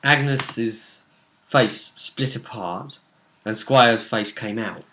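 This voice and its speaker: Male game master, mid-pitched with a bright, punchy edge, conversational recap style. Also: takes it slowly, a little hiss or hum. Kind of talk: casual chat recapping a game session.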